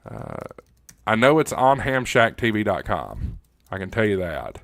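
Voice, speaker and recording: American guy streamer, lowish voice, chatting to his viewers, folksy and jokey, dry close sound. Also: very animated, a little slow, vocal fry, fairly bright tone, dry recording. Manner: informal, folksy, joking. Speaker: livestream host. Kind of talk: casual livestream chat reading viewer comments.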